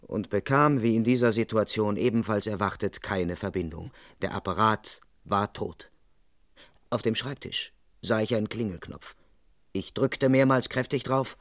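Earnest, deadpan police constable. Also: male voice, fairly low pitch, moderate pace, no echo, clean studio sound. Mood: deadpan narrating. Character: earnest, dutiful male police constable, politely flustered. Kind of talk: first-person narration and dialogue in crime radio play.

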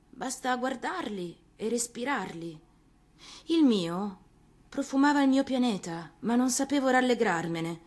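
A woman playing a gentle, earnest young prince in a storybook, speaking in a simple, confiding manner. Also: a little high, voice pushed up, natural voice. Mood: wistful.

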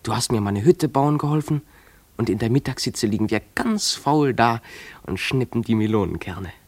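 An actor playing a dreamy, defiant runaway schoolboy in a German radio play, speaking in an imploring, declamatory way. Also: male voice, mid-range voice, lively intonation, moderate pace, darkish tone, dry recording, natural voice. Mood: fervent, hopeful.

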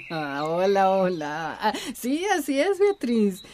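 A woman playing a gentle, affectionate neighbour who loves plants, effusive and cooing, in a radio drama. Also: medium pitch, wildly swooping pitch, slow pace, slight background noise. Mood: cheerful. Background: garden ambience with birdsong.

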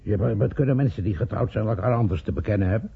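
Dry ironic old husband, really husky and lowish, old radio play sound. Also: male voice, quick pace, exaggerated voice. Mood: dry disbelief. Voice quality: husky, creaky. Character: dry, sceptical elderly husband, nearly seventy. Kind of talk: radio drama acting. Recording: narrow, phone-like old radio recording.

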